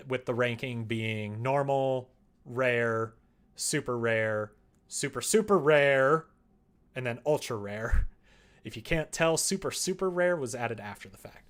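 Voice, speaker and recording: A man with a mid-pitched voice talks casually on a livestream. He explains things in a loose, rambling way, half to viewers and half to himself as he works. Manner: rambling explanations, muttering and humming to himself while working. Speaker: streamer developing a visual novel. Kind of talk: casual livestream chat while working.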